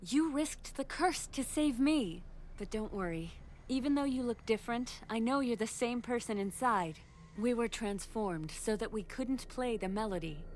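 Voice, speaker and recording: A woman's voice: on the high side, animated, moderate pace, a little mellow, a little hiss or hum, close to an everyday voice. A woman playing a tender, determined young sister in a dramatic fantasy adventure game. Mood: tender, apologetic. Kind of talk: dramatic video game acting.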